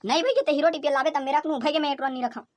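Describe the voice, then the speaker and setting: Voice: sits high, rapid-fire, background noise, natural voice. A performer playing a bossy, scoffing cartoon village fellow casting a film, with a Chhattisgarhi accent and slangy teasing talk. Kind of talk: comedy cartoon dialogue in colloquial village speech.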